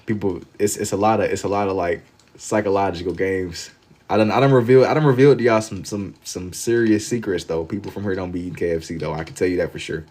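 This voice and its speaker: A man's voice: deep voice, steady tone, fast talker, a little mellow. A man with an American accent hosting a livestream, chatting casually and slangily with viewers, amused and often repeating phrases for emphasis. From Louisville, Kentucky, United States. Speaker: livestream host. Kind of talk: casual chat with live viewers while drawing.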